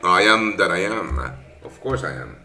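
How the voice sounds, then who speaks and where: Bright-voiced man. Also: deep voice, lively intonation, normal speed, vocal fry, soft background music. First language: Spanish. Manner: rambling, many fillers and self-corrections. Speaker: trading session host. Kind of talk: casual live-stream market commentary.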